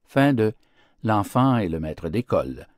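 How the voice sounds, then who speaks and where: A man narrating storybook fables in a theatrical, declamatory and expressive style. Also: on the low side, measured pace, no echo, studio quality. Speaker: audiobook narrator.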